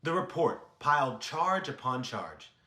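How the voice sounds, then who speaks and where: A man reading a scholarly history text aloud as a narrator, in a measured, emphatic and formal delivery. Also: medium pitch, sing-song, sharp tone. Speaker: audiobook reader. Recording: echoey room.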